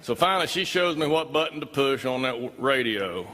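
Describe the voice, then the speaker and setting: A man gives a live talk, recounting an experience in folksy, emphatic storytelling. His voice is mid-pitched and carries the long reverb of a big hall. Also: lively intonation, a little fast, sharp tone, slight background noise.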